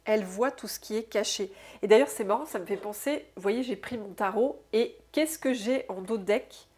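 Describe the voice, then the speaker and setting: A woman with a stuffy, congested nose from a cold, giving an intuitive oracle card reading to her viewers, musing aloud softly and often tagging her sentences with "hein". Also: mid-range voice, husky. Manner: soft, unhurried, musing aloud with frequent "hein". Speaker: soul therapist and oracle card reader.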